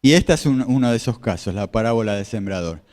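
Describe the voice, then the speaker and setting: Pastor preaching in a reverberant hall. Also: male voice, on the low side, lively intonation, quick pace, darkish tone. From Argentina. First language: Spanish. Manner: explanatory, repeats phrases, asks the audience questions. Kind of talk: sermon with slides.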